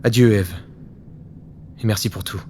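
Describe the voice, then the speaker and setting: A man's voice: lowish voice, fairly even intonation, unhurried, mellow, a little hiss or hum, close to an everyday voice. A man playing a grave, resolute and vengeful secret agent on his last mission in a French audio drama. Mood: weary, resolved. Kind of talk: French audio fiction acting.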